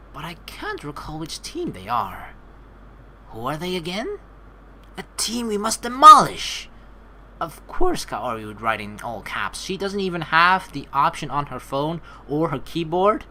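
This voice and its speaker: A woman's voice: low-pitched, sharp tone, slight background noise. A young woman reading a game aloud as a streamer, adding chatty, casual asides as she goes. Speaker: let's play streamer. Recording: faint game music behind.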